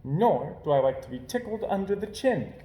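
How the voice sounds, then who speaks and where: Man doing storybook mama bear, gentle, proper, raised pitch, roomy echo. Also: sing-song, normal speed. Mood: reproving. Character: motherly adult female bear, caring and proper.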